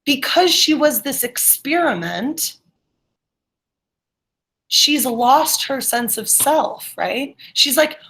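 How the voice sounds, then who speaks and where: A woman with a fairly high voice teaches a school class online. She talks to students directly, encourages them, and keeps checking that they follow with short questions. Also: expressive, slow pace, long pauses, fairly bright tone. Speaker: middle school English teacher.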